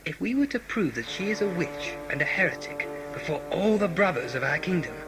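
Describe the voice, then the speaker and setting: A man's voice: a little high, very animated, a little fast, over music. A man acting a scheming, ambitious conspirator in a sci-fi audio drama, intense, formal and persuasive. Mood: scheming, urgent. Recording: noisy amateur audio play recording.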